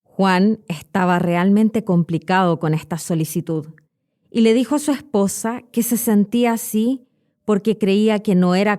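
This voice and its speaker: A woman's voice: lowish voice, warm tone. A woman in her thirties with a Chilean accent, narrating scripted educational stories expressively as a podcast host. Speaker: podcast host and Spanish teacher.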